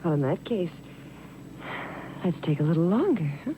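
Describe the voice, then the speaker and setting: Lively, wondering 1950s radio heroine. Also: female voice, on the low side, moderate pace, phone-quality sound. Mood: admiring. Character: eager, admiring young woman, detective's romantic companion. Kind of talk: 1950s radio drama acting. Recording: noisy 1950s radio show on cassette.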